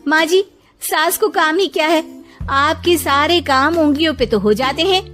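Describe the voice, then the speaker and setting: A woman's voice: high-pitched, normal speed, fairly bright tone, faint music behind, voice pushed up. A woman voicing a sarcastic, proud young Indian daughter-in-law in a family comedy cartoon. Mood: sarcastic teasing. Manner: sarcastic, argumentative.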